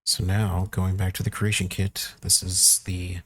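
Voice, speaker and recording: A man giving a casual software walkthrough, thinking aloud informally as he works through each step. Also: low-pitched, level tone, a little slow. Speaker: tutorial presenter.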